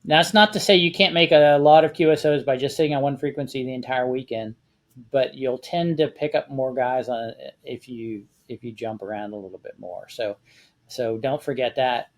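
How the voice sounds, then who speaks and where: A man's voice: mid-range voice, normal speed. A man talking casually as a panelist, explaining things in a conversational way with practical advice. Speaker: panelist on a ham radio discussion show.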